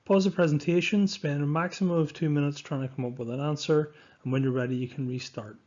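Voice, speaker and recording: A man's voice: a little high, fairly even intonation, fast talker, a little mellow. Dull-sounding recording of a male science teacher. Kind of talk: scripted lesson narration over slides.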